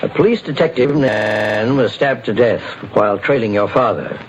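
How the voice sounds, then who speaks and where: An actor playing a calm, shrewd detective. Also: male voice, lowish voice, lightly acted, rough recording. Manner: measured, authoritative. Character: calm, shrewd male private investigator. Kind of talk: 1940s radio acting. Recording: hissy old radio broadcast.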